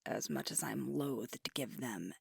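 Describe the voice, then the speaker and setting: A woman playing a frustrated, doubting alpha werewolf pack leader in an intimate ASMR roleplay, speaking breathily and deliberately into a close microphone. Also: rumbling low, no echo, heavily acted. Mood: weary explaining. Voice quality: breathy, whispery.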